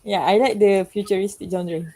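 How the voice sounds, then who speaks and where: A woman with a Malaysian accent giving informal feedback to a class, haltingly and often searching for words. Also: mid-range voice, steady tone, dark tone.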